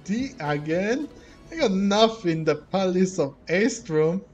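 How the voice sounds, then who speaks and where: A man's voice: high voice, animated, slow, a little mellow, highs cut off, faint music behind. A man giving casual let's play commentary as a streamer, rambling and interrupting himself. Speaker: video game streamer.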